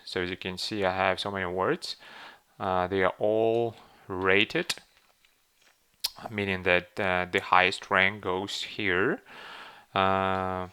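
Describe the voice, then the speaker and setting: A low-pitched, gravelly man with a Ukrainian accent guides viewers through a website step by step. His tone is bright and crisp. He hesitates often, filling gaps and restarting his phrases. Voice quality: hesitant, many fillers and restarts. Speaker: channel host. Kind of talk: screen-walkthrough tutorial.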